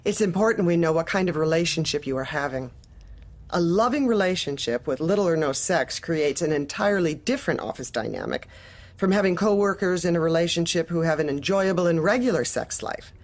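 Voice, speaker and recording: Female office manager: lowish voice, lively swoops in pitch, polite but prying, bureaucratic, dark comedy audio drama. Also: fairly quick. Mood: probing, businesslike. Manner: polite, probing, businesslike, bureaucratic.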